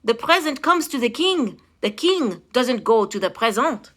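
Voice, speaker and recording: A woman puts on a storybook royal counselor, pitched high and bright. The delivery is prim, scandalized and fussily insistent, yet deferential. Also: sing-song, measured pace, full character voice. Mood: shocked.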